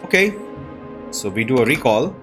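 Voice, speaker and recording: Low-key man commentating over game music. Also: very animated, moderate pace. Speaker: gaming video host. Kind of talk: casual gameplay commentary.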